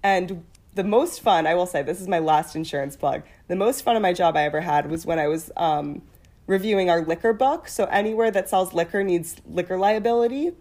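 Young woman, deep-voiced, chatty and laughing, casual conversation. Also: a little fast, darkish tone. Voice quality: fairly deep voice. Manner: laughing, chatty, swears freely. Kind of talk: casual chat.

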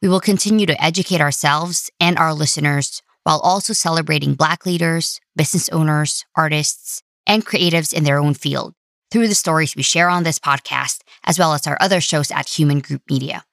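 Woman, podcast host, low voice, scripted announcement, dry recording. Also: moderate pace, fairly bright tone, no room sound.

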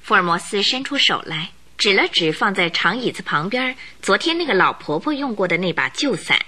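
A woman's voice: mid-range voice, sing-song, a little fast, bright, forceful tone. A woman narrator telling a radio drama story from a script in a vivid, suspenseful, dramatic way.

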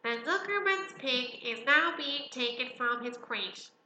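A pompous, self-important fairground announcer from a storybook, played by a woman reader, with a high-pitched and very sharp, bright voice. The delivery is formal and grand, in a roomy, echoing space. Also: animated, a little slow, exaggerated voice. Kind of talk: storybook character reading. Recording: roomy echo, faint noise.

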